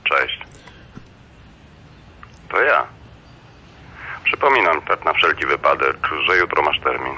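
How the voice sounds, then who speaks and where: Gangster loan shark in a radio play, slow taunting threats, super deep, harsh sharp edge. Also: male voice, very animated, breathy edge, heavily acted. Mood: cool menace. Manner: slow, taunting, tags threats with a questioning "right?". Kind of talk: radio drama acting.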